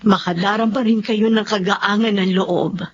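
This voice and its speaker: A woman playing a kindly, wise aunt in a Filipino radio drama, gently persuasive. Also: mid-range voice, steady tone, moderate pace, lightly acted. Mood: gentle, persuasive. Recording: dull lo-fi audio, faint noise.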